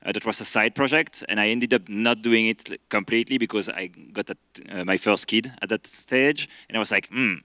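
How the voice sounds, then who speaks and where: A man from Belgium with a Belgian accent, speaking as a panelist on a conference stage, telling anecdotes in a relaxed way with pauses. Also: on the low side, dry recording, clean studio sound. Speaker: panelist, product leader.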